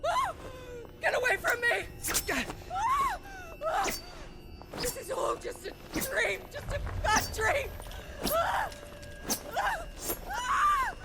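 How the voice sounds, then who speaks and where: A terrified fantasy noblewoman, screaming very high with wild swings and a cracked creak. She is hysterical and raving, in dramatic game style, over loud music. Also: huge pitch swings, slow pace, pauses often, heavily acted. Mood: hysterical terror. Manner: shrieking, hysterical. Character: terrified, raving royal mother in fantasy empire. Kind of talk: dramatic video game acting.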